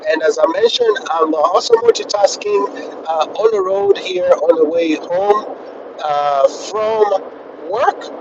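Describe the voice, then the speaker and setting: Man from Kenya, casual live stream in a car, lively up-and-down voice, slightly high, distant dull phone audio, clear road noise. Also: slow pace. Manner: chatty, filler-heavy, pitch jumping up on phrases. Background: road and traffic noise.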